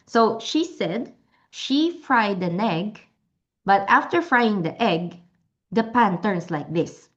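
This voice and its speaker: A woman's voice: mid-range voice, lively intonation, takes it slowly, dull audio. A woman whose first language is Tagalog, chattily demonstrating and selling a product with many restarts and fillers. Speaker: product seller.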